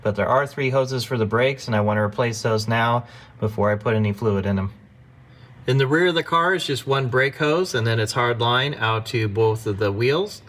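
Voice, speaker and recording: A man's voice: medium pitch, a bit bright. A man narrating a car repair step by step, practical and instructive, as the host of his own workshop videos.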